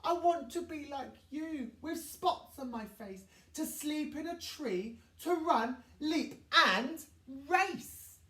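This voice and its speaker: Young rhino for a storybook, played by a woman: high, eager, emotional, slow delivery, heard in an echoey room. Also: expressive, pushed and bright, exaggerated voice. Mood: wistful, pleading. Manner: exclaiming, emotional, drawn-out delivery. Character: young Javan rhino, eager, excitable, easily saddened. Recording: echoey room, distant from mic.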